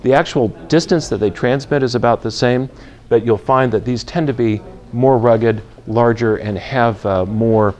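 A man's voice: medium pitch, moderate pace, darkish tone, muffled recording. A man in his fifties presenting a product guide, explaining things methodically in an instructional style into a lapel mic. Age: 50s. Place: large retail store, indoors.

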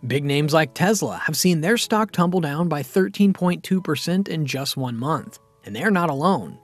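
Guy narrating a money explainer video, kinda high voice, lots of rise and fall, soft music behind. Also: animated, moderate pace, dry recording. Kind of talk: scripted finance explainer narration.

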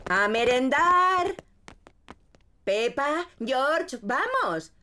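A woman's voice: sits high, very animated, extremely slow, pushed and bright, exaggerated voice. A woman voicing a kindly, doting old grandmother pig in an exclamatory cartoon dub. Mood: doting, cheerful.

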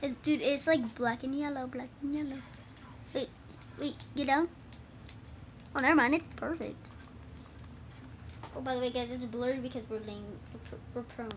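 A woman's voice: sits high, steady tone, normal speed, pauses often. Casually swearing woman chatting and joking while playing a shooter split-screen on a TV, filmed by phone. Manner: swears, jokes and laughs a lot. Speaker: gamer filming split-screen play. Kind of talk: casual gaming chat. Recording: noisy room recording.

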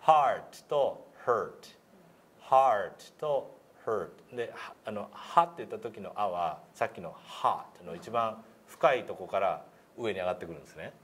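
A man's voice: lowish voice, expressive, measured pace, fairly bright tone, breathy edge. A middle-aged man coaching English pronunciation in a casual, hands-on way, demonstrating sounds in a meeting room. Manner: demonstrates single sounds and words, drawn out. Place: small meeting room, indoors.

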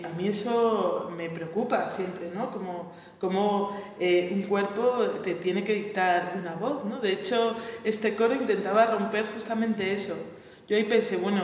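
A woman's voice: mid-range voice, darkish tone, slight background noise. A woman, leading a seminar and thinking aloud, hesitant, with frequent tag questions. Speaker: choir workshop leader. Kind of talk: reflective seminar talk. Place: large bright seminar room. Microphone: far camera mic. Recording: echoey room from far off.

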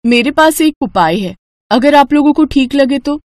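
Woman doing an eager young village girl in a cartoon, high lively voice. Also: normal speed, dry recording, studio quality. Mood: hesitant. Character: earnest, resourceful young village daughter and sister-in-law.

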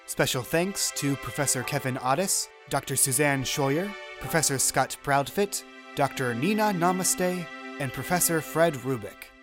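A man with a slightly high voice reads closing credits from a script. He speaks as an announcer over clearly audible music. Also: unhurried.

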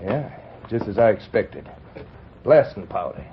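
An actor playing a scheming American frontier mining crook, folksy and conspiratorial, in an old radio western. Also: male voice, lowish voice, sing-song, measured pace. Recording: muffled vintage radio broadcast, faint noise.

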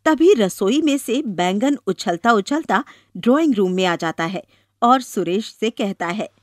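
A woman's voice: mid-range voice, expressive, unhurried, no echo, clean studio sound. A woman narrating a scripted children's moral story.

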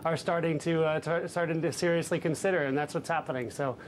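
Hesitant man, slightly high voice. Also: steady tone, a little hiss or hum. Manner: measured, hesitant with frequent fillers. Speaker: fund manager guest. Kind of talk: live news interview. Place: office with trading desks behind.

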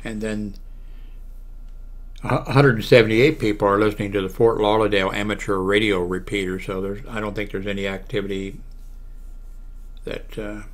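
An American man in his seventies giving a casual, unscripted computer walkthrough, haltingly thinking aloud. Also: lowish voice, steady tone. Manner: halting, thinking aloud while clicking through pages. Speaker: video blogger giving a how-to demonstration. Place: small room at home, indoors. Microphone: large desktop microphone close to the mouth.

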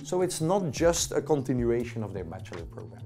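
A man's voice: medium pitch, a little fast, soft background music. A middle-aged man, a professor, explaining things emphatically in an interview. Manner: emphatic, lively rhetorical delivery. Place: office with bookshelves.

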